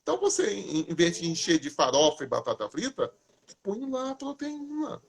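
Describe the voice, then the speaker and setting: Lively husky man giving casual tips. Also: high-pitched, moderate pace. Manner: lively, chatty, asks questions then answers himself. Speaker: host. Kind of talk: casual live talk giving diet tips.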